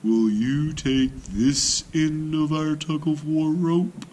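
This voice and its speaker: Guy doing clever lil tortoise from a storybook, super slow n polite, sneaky, stretches words out. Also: on the high side, fairly even intonation, darkish tone, slight background noise, pitched above the performer's own voice. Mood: sly, polite. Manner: drawn-out, deliberate, courteous delivery.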